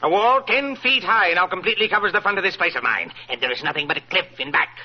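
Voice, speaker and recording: A man's voice: high voice, lively intonation, fast talker. An actor playing a wealthy, paranoid, self-satisfied old businessman in 1940s radio style, grandly wordy and theatrical. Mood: smug. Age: older. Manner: theatrical, grandly wordy, repeats himself for emphasis. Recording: muffled vintage radio recording.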